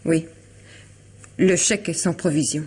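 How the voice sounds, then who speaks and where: A woman's voice: deep voice, unhurried, a little mellow, slight background noise. An actress playing an elegant, troubled woman confiding in a lawyer friend in a vintage French radio drama, hesitant yet articulate. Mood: agitated, defensive. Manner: hesitant, restarting, articulate and formal.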